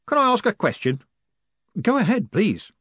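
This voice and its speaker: A man's voice: high voice, sing-song, normal speed, a bit bright, slightly rough, no room sound, pitched above the performer's own voice, full character voice. A man voicing a cheerful, boastful male coachman who likes to put on a show, in a dull, dry recording. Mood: eager. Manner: eager, boastful.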